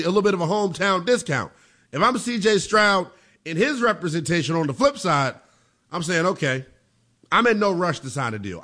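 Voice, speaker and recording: A man's voice: high-pitched, a bit bright. A man with an American accent hosting a sports show, giving emphatic football commentary and repeating phrases for effect.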